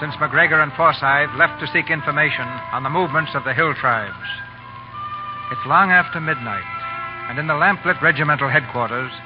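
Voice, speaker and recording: A man's voice: fairly high pitch, brisk. A man reading scripted dramatic narration for a 1930s radio drama. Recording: dull 1930s radio recording, music behind.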